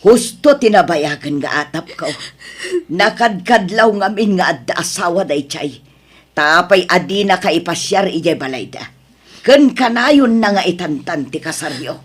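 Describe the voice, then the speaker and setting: A woman playing an older village mother, husky, scolding yet resigned, in an Ilocano-accented audio drama. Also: on the low side, lively intonation, a little slow.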